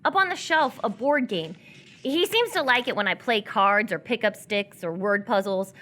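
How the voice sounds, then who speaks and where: A woman playing a coy, lonely young captive in live radio theatre, playful and evasive, with hesitant pauses. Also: medium pitch, lively intonation, a bit bright, slight background noise, natural voice. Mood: evasive, defensive.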